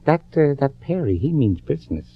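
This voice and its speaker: An American actor playing a cocky small-time crook in a radio drama, folksy, insolent and drawling. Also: male voice, medium pitch, big pitch swings, fairly quick, breathy edge, no echo. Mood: smug. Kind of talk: 1940s radio acting. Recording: dull old-time radio recording.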